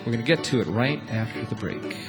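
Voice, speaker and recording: A man's voice: mid-range voice, animated, quick pace. A man hosting a live music radio show from a theatre stage, talking to guests and audience.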